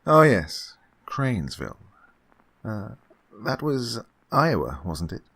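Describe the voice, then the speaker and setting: A man playing an unctuous, creaky-voiced scheming gentleman. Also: fairly low pitch, big pitch swings, slow, full character voice. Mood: oily, genial. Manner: fussy, hesitant, repeats himself, chuckling. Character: unctuous, scheming gentleman employer feigning kindly absent-mindedness. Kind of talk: old-time radio horror drama acting.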